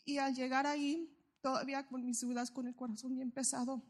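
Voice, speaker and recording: A woman shares her testimony before a church group. Her voice sits a little high and stays fairly flat, and she speaks in halting, heartfelt phrases, pausing on fillers. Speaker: church member giving a testimony.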